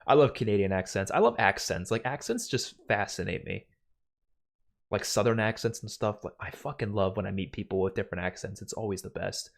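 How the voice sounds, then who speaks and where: A young man with a light New York accent answers viewer questions on a home live stream, talking in an animated, swearing, easygoing way. He is close on a boom mic in a small room. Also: brisk, gravelly. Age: young adult. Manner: animated, swearing, laughs a lot. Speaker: streamer host. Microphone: studio mic on boom, headphones.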